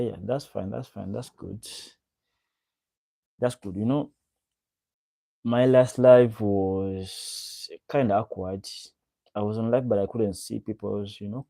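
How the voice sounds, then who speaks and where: A man's voice: fairly low pitch, gravelly, warm tone, slightly rough, no room sound. A young man from Uganda hosting a casual livestream, greeting viewers by name and repeating his questions. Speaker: livestream host. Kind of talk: casual livestream chat reading comments.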